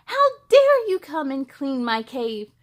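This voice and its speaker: A grimy female microwave monster out of a picture book, played by a woman. She is indignant and dramatic, with a high voice and slow, emotional delivery. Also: sing-song, darkish tone, heavily acted. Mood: outraged.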